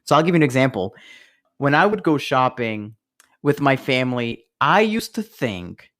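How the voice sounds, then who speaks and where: Man, grew up in Italy, Italian first language, podcast co-host, lively storytelling, chuckles mid-sentence, mid-pitched voice. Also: moderate pace. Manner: animated storyteller, laughs while telling stories. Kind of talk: casual chat.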